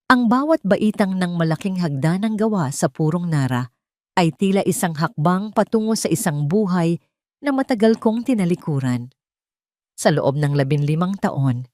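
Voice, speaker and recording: A woman with a Filipino accent narrating a dramatic scripted story in the first person, solemn and deliberate. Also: lowish voice, a little mellow, dry recording, clean studio sound.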